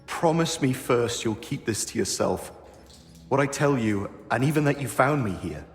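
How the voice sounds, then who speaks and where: A man's voice: medium pitch, animated, moderate pace, lightly acted. An actor playing a grumpy, evasive man in a video game cutscene, with a weary, defensive and grudging delivery. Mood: weary, guarded. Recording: echoey stone-room reverb, music behind.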